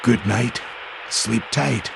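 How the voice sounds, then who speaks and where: A man playing a sinister horror storyteller who hosts an audio drama, slow and theatrical, with a gravelly voice. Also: mid-range voice, background noise, exaggerated voice. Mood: menacing. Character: ghoulish male storyteller hosting chilling midnight tales. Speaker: host, storyteller. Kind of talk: horror anthology sign-off.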